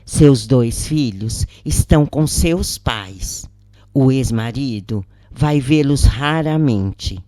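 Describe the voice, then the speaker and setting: Woman narrator playing imprisoned woman, Brazilian accent, patient, grave, earnest, slow delivery, audiobook, dry sound. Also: very deep voice, lively intonation, dark tone, dry recording, full character voice. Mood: calm, advising. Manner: earnest, explanatory.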